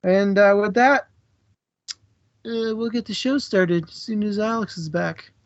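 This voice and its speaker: A man with a slightly high voice hosts a casual book club stream. He speaks informally and jokily, with some hesitation. The sound is dry and a little muffled. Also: measured pace, no room sound. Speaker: co-host of a book club livestream. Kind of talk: casual chat to a live audience.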